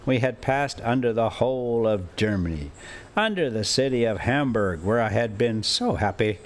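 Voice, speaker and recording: A man reading a classic adventure novel aloud as an amateur storyteller, with dramatic, deliberate phrasing and pauses. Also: medium pitch, animated. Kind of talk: expressive amateur audiobook narration with casual asides.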